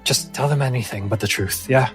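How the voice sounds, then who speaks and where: A man's voice: medium pitch, a little fast, faint music behind, lightly acted, low-quality recording. A man playing an earnest, wary sanctuary man who talks informally and pleads for secrecy. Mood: urgent, frank.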